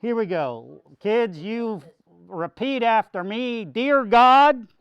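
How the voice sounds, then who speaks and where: Smooth slow guy pastor doing a kids talk, folksy, repeats himself, dull lo-fi dry sound. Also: high-pitched, lively intonation, no room sound. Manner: folksy, simple explaining to children with repetition. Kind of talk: children's sermon with a puppet.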